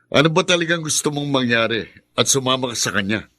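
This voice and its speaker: An actor playing a cocky, jealous secret lover in a Filipino radio drama, slangy and aggrieved, with a Filipino accent. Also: male voice, medium pitch, animated, quick pace, bright, forceful tone, dry recording.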